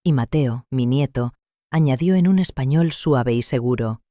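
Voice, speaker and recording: A man narrating a first-person story in a calm, measured, reflective way. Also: mid-range voice, no room sound, clean studio sound.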